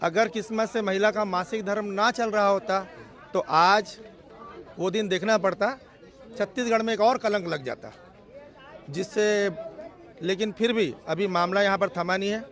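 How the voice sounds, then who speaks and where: Man, high voice, earnest activist statement, emphatic repetition, outdoor news interview, crowd murmur. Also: normal speed, a bit bright. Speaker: activist spokesman. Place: outdoors near a police station.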